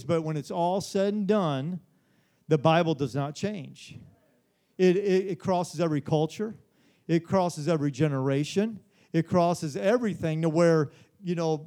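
A man in his forties preaches at a midweek Bible study, with a notably high voice. He talks earnestly to the congregation, often repeating words and checking in with them. His delivery is sincere and conversational, not polished. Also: darkish tone. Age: 40s. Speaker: pastor.

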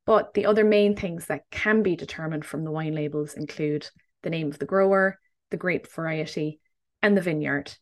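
A woman in her thirties hosts a wine podcast, chatty and bubbly, laughing easily between points. Her voice sits a little low for a woman. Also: moderate pace. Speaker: podcast host. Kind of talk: casual wine podcast chat and scripted intro.

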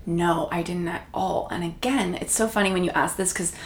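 A woman's voice: on the low side, steady tone, normal speed, a little hiss or hum. A woman from Canada, a guest in an interview, talking in a reflective, chatty way with many fillers. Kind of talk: conversational interview. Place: room in Toronto.

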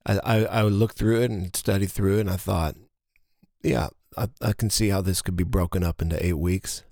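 Low voiced guy w lots of fry, deadpan pastor chatting on a podcast, close dry mic. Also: moderate pace, dry recording. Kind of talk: casual podcast chat.

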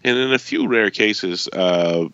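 A man's voice: fairly low pitch, sing-song, slow pace, creaky, a bit bright, clean tone, dry recording, dull audio. A man co-hosting a podcast while sick, chatting wryly about everyday life. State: sick, losing his voice. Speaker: podcast co-host. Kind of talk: casual podcast chat.

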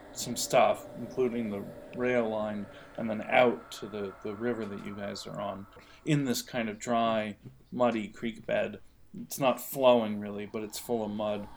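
A man narrating an improvised tabletop role-playing game as game master, often halting as he thinks aloud. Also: medium pitch, vocal fry, slight background noise. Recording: music playing under the voice.

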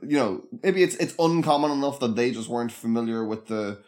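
A man co-hosting a podcast in casual chat, measured and a bit hesitant, with a dry tone. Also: mid-range voice, a little mellow. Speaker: podcast co-host.

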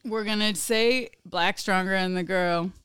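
A woman's voice: mid-range voice, a little slow, fairly bright tone, smooth, no echo, studio quality. A woman from southern Brazil with a Brazilian Portuguese accent, co-hosting a casual podcast and laughing often. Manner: giggly, laughs often between remarks. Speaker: podcast co-host and capoeira teacher.

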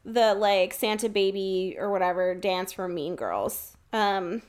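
Female podcast co-host for loose, chatty movie talk; mid-pitched, informal, swears casually. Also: measured pace. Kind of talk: casual film discussion podcast chat.

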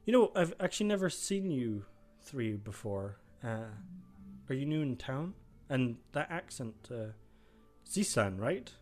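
A worn-out older guard captain who stumbles over his words and keeps asking questions with polite suspicion, as a man puts him on. The voice sits a little higher than the speaker's usual tone, with faint music underneath. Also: big pitch swings, a little slow, darkish tone. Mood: curious. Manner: hesitant, stammering, polite but suspicious. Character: tired, disheveled older male guard captain.

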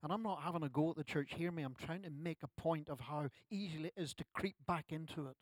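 A man's voice: fairly high pitch, quick pace, no room sound. A man, preaching a sermon at a church service in a conversational way with self-deprecating asides. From Ireland. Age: 60s. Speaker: pastor.

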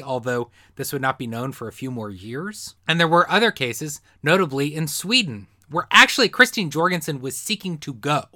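Bright-voiced man telling history. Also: a little high, dry recording, studio quality. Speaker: history presenter. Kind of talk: narrated history talk, semi-improvised storytelling.

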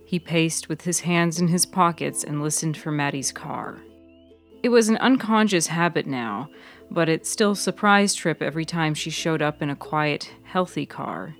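A woman's voice: deep voice, moderate pace, faint music behind. A woman reading scripted fiction as the narrator of a serial story. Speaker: author and narrator.

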